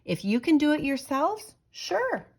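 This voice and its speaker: A woman reads the part of a dismissive landlord in a children's storybook. He is a reluctant, skeptical man who answers curtly, with lively inflection. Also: high voice, measured pace, pitched above the performer's own voice, exaggerated voice. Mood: grudging.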